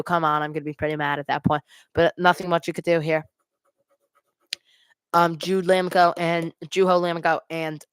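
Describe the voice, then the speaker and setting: A woman with a fairly low voice gives amateur live hockey play-by-play as a fan host. She mixes the calls with exasperated, complaining asides about the team. The sound is dry, with very little room echo. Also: fairly bright tone, smooth. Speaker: fan commentator hosting a live hockey watch party.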